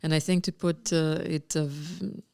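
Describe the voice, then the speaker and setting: A woman's voice: low voice, steady tone, moderate pace, gravelly, a little mellow, clear, resonant tone. A woman moderating a live panel discussion, thinking aloud and rephrasing as she goes.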